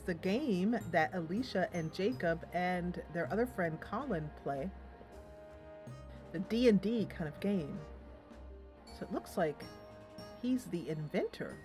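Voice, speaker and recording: A woman's voice: lowish voice, expressive, unhurried, soft background music. Playful woman hosting a game playthrough. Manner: playful, chatty, explanatory asides. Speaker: gaming video host. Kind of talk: casual let's-play, reading game dialogue aloud.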